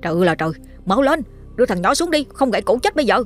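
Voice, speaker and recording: A woman's voice: sing-song, soft background music. A woman doing a panicked village man. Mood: alarmed. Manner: high, hurried calling out. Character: alarmed village man shouting.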